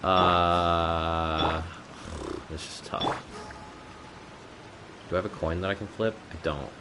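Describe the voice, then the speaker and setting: A man's voice: low-pitched, little pitch movement, slow. A man giving casual, slangy, sweary live commentary while streaming a video game. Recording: game music under the voice. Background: video game music.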